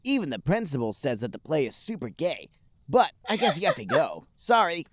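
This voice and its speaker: A man's voice: a little high, big pitch swings, a little fast, dry recording, studio quality, voice pushed up. A man voicing a blunt, dim teenage cartoon hedgehog boy with stilted, exaggerated delivery in a comic fan fiction reading. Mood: cheerful, blunt.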